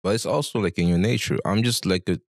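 A young man with a deep voice chats as a guest on a talk show. His speech is loose and slangy, full of "bro" and "you know". The sound is close and dry, from a handheld mic. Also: fast, no room sound. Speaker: interview guest. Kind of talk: casual chat, storytelling. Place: small barbershop, indoors. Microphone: handheld microphone.

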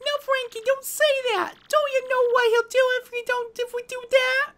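A woman's voice: extremely high pitch, fairly even intonation, normal speed, fairly bright tone. A woman voicing a melodramatic young mob boss's daughter, passionate, haughty and defiant. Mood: desperate, passionate. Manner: dramatic, haughty, pleading.

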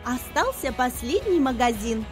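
Lively high-voiced woman narrating for kids. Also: measured pace. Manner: simple, clear explanatory storytelling. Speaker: narrator of children's educational cartoon. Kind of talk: scripted narration for young children. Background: background music.

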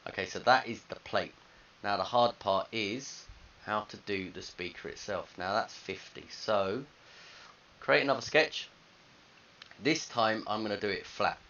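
A man's voice: fairly low pitch, unhurried, bright, forceful tone. A man in his thirties talking casually through a step-by-step screencast tutorial as a teacher, thinking aloud into a headset microphone. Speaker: design teacher. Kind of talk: screencast software tutorial, informal step-by-step narration. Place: indoors at a computer. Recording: dull lo-fi headset audio, faint hiss.

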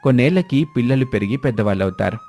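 A man's voice: medium pitch, lively intonation, fast talker, mellow, soft background music. A man narrating a scripted moral story.

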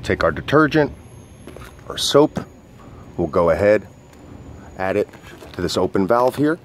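A man's voice: fairly low pitch, big pitch swings, measured pace, slight background noise. A man reviewing gear in an informal, hesitant, step-by-step instructional way, as a casual demonstration. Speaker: product reviewer and outdoor coach.

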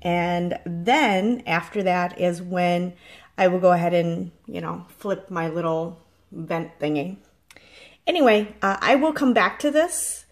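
A woman's voice: lowish voice, measured pace. A woman chatting casually as she demonstrates cooking, rambling and correcting herself, filmed on a phone in her home kitchen. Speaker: farm vlogger. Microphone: phone held in hand.

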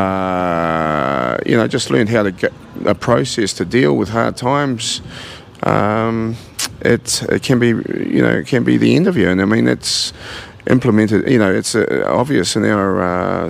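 A gravelly, fairly low-voiced man in his forties with a New Zealand accent, speaking in an earnest, halting way full of fillers and restarts. He is being interviewed in a large indoor gym and holds a handheld mic. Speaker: gym owner. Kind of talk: casual interview.

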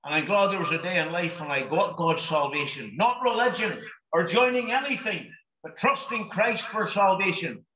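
A man preaching an impassioned gospel sermon, pleading with his listeners and breaking into shouts, at a drive-in meeting. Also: sits high, normal speed. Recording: echoey, dull lo-fi recording.

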